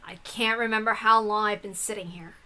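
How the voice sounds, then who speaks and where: A woman's voice: mid-range voice, steady tone, a little fast, bright, forceful tone, smooth, lightly acted. A woman voicing a troubled but defiant young story heroine with an earnest, questioning manner. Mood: confused.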